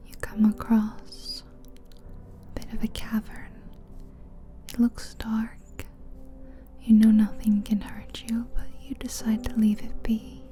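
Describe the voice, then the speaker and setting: A woman whispering a slow, soothing guided visualization as an ASMR host, close to the mic. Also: on the high side, monotone, warm tone. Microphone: close mic, ear to ear.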